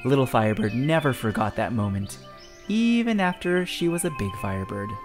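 A narrator reading a children's storybook aloud in an expressive, dramatic and deliberate storytelling style. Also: mid-range voice. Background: music.

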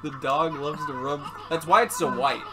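A woman's voice: sits low, moderate pace. A woman bantering casually over a video game in a crude, jokey, teasing way. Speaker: gameplay co-commentator. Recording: game music behind the voice. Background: video game music.

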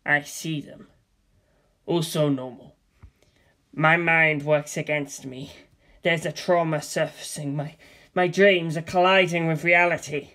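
A man giving a deadpan comedic script reading, flatly reciting the stage directions and character names. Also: fairly high pitch, measured pace, pauses often. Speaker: script reader.

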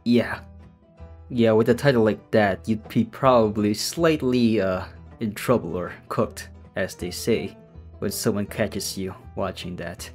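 A man doing casual, deadpan narration as the host of a meme tier-list video. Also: on the low side, unhurried, soft background music.

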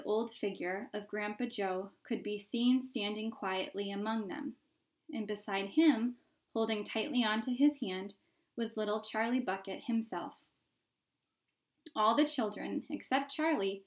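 A woman in her thirties reading a children's book aloud as narrator. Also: medium pitch. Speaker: reader. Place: indoor room at home by a window.

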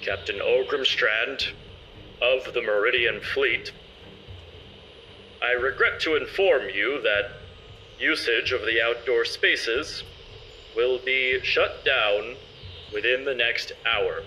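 A man voicing a firm, formal ship's captain giving measured announcements in a fantasy role-play podcast. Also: medium pitch, pushed and bright, a little hiss or hum, poor audio.

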